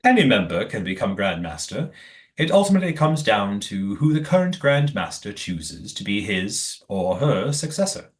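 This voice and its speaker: A man playing a calm, secretive leader of a commune in an audio play, speaking in a formal, measured, evasive way. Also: on the low side. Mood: cryptic, assured.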